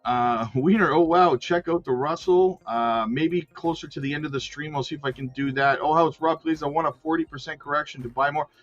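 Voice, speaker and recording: A man's voice: on the high side, a little fast, hardly any pauses, lo-fi sound, soft background music. A man hosting a livestream, reading market news and chatting to viewers, losing his voice and peppering his talk with "right?" and "guys". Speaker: crypto livestream host. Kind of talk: livestream commentary mixing read news and chat.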